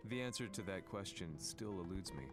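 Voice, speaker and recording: An actor playing a somber war reporter haunted by loss, narrating a video game story in a grave, measured, brooding way. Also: male voice, deep voice, lively intonation, over loud music. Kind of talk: scripted cinematic game narration. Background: musical score.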